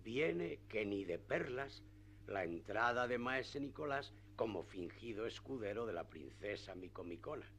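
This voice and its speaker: A male actor plays a scheming village priest in a radio drama. He explains with assurance in a lowish voice, with faint noise and hum on the recording. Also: lively intonation, measured pace, a bit bright. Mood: pleased scheming. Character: scheming, confident village priest plotting a friendly deception. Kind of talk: radio play acting.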